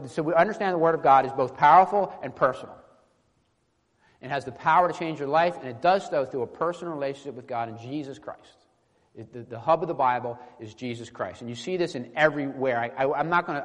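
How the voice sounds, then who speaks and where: Conversational male preacher in an echoey hall. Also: medium pitch. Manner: conversational, halting, with repeated tag questions. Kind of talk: informal sermon with teaching asides. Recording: echoey hall with long reverb.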